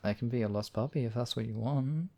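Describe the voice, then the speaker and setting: A man performs a demon king devoted to his beloved, with a soft, dark timbre full of gravelly creak. He speaks intimately and courteously, with a playful, teasing edge, in the manner of a romantic ASMR audio roleplay. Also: mid-range voice, fast talker, close to an everyday voice. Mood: fond, teasing.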